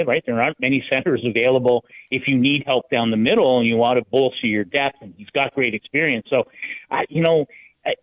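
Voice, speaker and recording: A male hockey analyst talking on sports radio in a halting, conversational way, restarting phrases as he thinks aloud. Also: medium pitch. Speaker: sports writer, guest analyst. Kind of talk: hockey talk radio discussion. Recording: thin, phone-like line.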